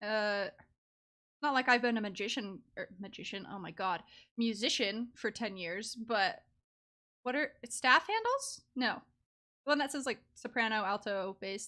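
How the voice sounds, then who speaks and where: A woman streaming a video game with casual live commentary, giggly and excitable in her reactions. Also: a little high.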